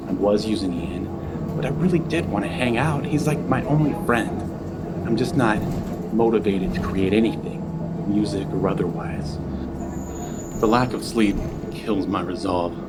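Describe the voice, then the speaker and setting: A man playing a broke, sleepless musician in a scripted audio drama, sardonic and deadpan. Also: on the low side, normal speed, very noisy, natural voice. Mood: weary. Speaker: lead character and narrator of an audio drama.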